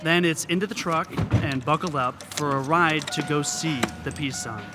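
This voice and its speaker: A man's voice: a little high, moderate pace. A man narrating a scripted radio story live on stage as a reporter. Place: theater stage. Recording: live theater with music behind.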